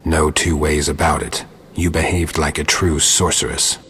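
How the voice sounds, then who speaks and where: Very deep, husky, gruff monster hunter. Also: male voice, moderate pace, heavily acted. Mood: dry, stoic. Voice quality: gravelly, husky. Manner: terse, deadpan. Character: gruff, stoic male monster-hunting witcher. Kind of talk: video game dialogue acting.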